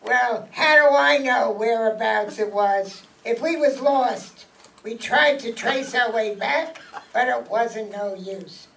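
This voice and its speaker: A woman's voice: a little high, takes it slowly, gravelly, fairly bright tone, exaggerated voice. A woman playing a short, wheedling small-time male gangster with slangy tough-guy talk in a stage radio mystery. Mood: feigned sorrow, evasive. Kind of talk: radio mystery acting before a live audience. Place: meeting room stage, camera among audience. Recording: distant mic in an audience room.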